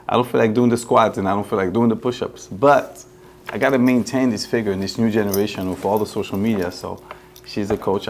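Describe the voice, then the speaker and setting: A man in his forties with a mixed Caribbean accent, a guest talking earnestly with many halting fillers. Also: lowish voice, gravelly. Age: 40s. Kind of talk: casual chat.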